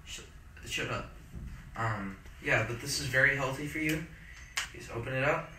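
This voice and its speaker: A teenage boy lounges in a dorm room, delivering deadpan fake-ad lines at a middle pitch. The room is echoey, and a faint hum of noise sits underneath. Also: normal speed. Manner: deadpan mock-commercial pitches. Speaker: friend. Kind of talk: casual chat with friends. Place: small dorm room, indoors. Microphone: friend's handheld phone. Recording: roomy echo, faint background noise.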